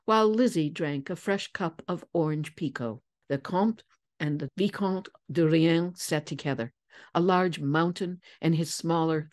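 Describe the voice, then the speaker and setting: Low-pitched woman narrating dramatically. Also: darkish tone. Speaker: narrator, voice actor.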